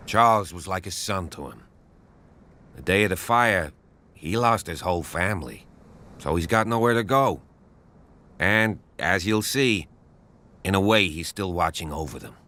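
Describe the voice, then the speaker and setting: A gruff local policeman in a video game, with a low voice that cuts through brightly and forcefully. He is wary and protective of his townsfolk, speaking in measured, folksy phrases. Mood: somber, guarded. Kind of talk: scripted game dialogue.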